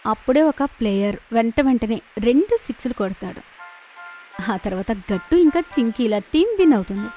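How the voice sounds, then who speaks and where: A woman reading scripted narration for an animated children's story. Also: a little high, normal speed, soft background music, low-quality recording.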